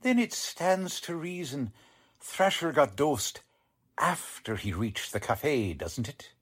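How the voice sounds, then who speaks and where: A man's voice: a little high, big pitch swings, takes it slowly, fairly bright tone, husky, full character voice. A man playing a stubborn, cocksure working-class police lieutenant in an old-time radio drama, talking in tough, ungrammatical slang. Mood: cocksure, blustering.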